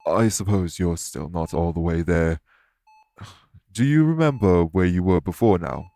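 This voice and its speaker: A man voicing a calm, courteous winged elf in an audio roleplay, speaking formally and patiently to reassure the listener. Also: low-pitched, unhurried.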